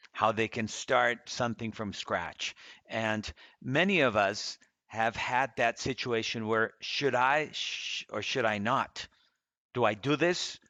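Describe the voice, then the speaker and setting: A man's voice: fairly low pitch, pushed and bright, no room sound. A man in his fifties from Costa Rica co-hosting a podcast, talking in an earnest, motivational way and repeating phrases for emphasis. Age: 50s. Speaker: podcast co-host. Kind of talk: casual conversational podcast.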